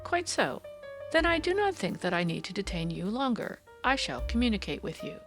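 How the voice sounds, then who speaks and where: A woman voicing a confident, amused gentleman detective who speaks precisely and teasingly in an audiobook story. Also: mid-range voice, big pitch swings, normal speed, soft background music. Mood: assured, courteous.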